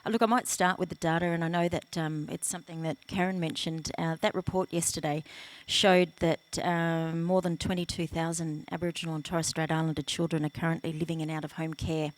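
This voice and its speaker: Soft quiet aussie woman on a panel mic, lowish and pretty flat, measured. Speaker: national children's commissioner. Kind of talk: panel discussion. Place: conference panel at a live event. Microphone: event microphone.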